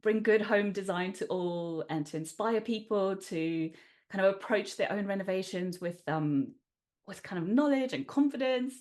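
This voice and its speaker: A woman's voice: medium pitch, moderate pace. A woman hosting a podcast, reading an intro and then asking questions with frequent ums and kind ofs. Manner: warm welcomes, hedging with kind of and um. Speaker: podcast host. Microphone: podcast studio mic.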